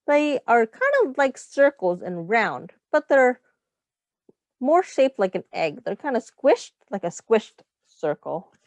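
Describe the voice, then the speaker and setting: A woman reads a picture book to young children at a slow pace. Her voice sits fairly high and moves in animated swoops. She explains things simply, like a teacher, and pauses to ask questions. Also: no echo. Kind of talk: read-aloud storytime for young children.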